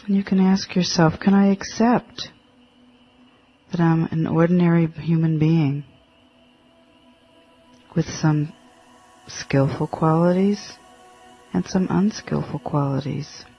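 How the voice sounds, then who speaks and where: Slow, even-toned man guiding meditation. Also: a little high, breathy edge. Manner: halting, gentle, with long pauses between short phrases. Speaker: meditation guide. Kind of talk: guided meditation, spoken instructions. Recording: dull lo-fi audio with faint music.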